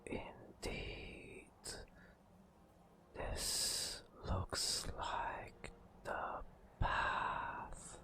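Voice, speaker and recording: A man narrating ASMR in a whispery, breathy voice, with drawn-out, theatrical storyteller phrasing. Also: pushed and bright. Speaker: gaming ASMR host.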